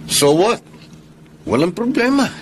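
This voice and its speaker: Casting: carefree young male cheat, Filipino radio drama, highish swooping voice, vehicle noise. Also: big pitch swings, takes it slowly, heavily acted. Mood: cocky. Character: cocky carefree young womanizer who cheats. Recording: clear vehicle noise behind.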